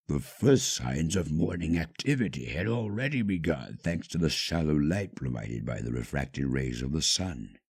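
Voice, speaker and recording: A man narrating a scripted story dramatically, with measured, hushed phrasing and pauses. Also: a bass voice, creaky, slightly rough.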